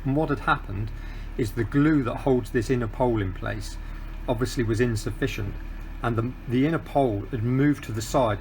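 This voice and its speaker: A man's voice: medium pitch, a little hiss or hum. A middle-aged man, walking through a hands-on speaker repair step by step in a practical, methodical way, with frequent ums and uhs. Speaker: DIY repair tutor.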